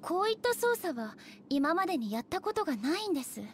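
A woman playing a composed, aloof deity ruler in an anime game, speaking formally and in a measured way. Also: very high-pitched, sing-song, a little hiss or hum. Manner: formal, measured polite speech. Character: composed, dignified female deity ruler, aloof and literal-minded.

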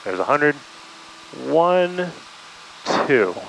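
A man working as a flight instructor, explaining hands-on in a relaxed, casual way over an aviation headset. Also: fairly high pitch, drawn-out words, a little hiss or hum, low-quality recording. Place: inside small aircraft in flight. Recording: cockpit intercom with engine drone.

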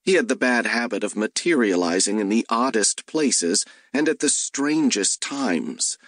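A man narrating a first-person story as an audiobook, in a measured, wry way. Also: mid-range voice, animated, fairly bright tone, no echo, clean studio sound.

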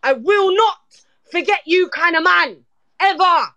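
Very high-pitched angry London woman, confrontational. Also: lively intonation, unhurried, pushed and bright, no room sound, lo-fi sound. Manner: confrontational, aggressive, repetitive, swearing. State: angry, worked up. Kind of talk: heated argument in a live online group voice chat.

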